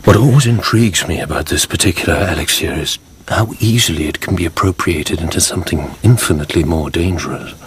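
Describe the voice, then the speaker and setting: A man playing a suave, mysterious Count with measured, courtly, insinuating speech in a radio drama. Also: low-pitched, natural voice. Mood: courteous, insinuating.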